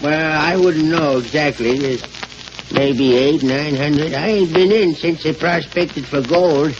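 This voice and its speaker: High-voiced folksy country gas station man. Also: slow. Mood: folksy, eager. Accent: rural American. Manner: drawling, hesitant country talk. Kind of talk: 1940s radio acting. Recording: muffled, noisy old radio recording.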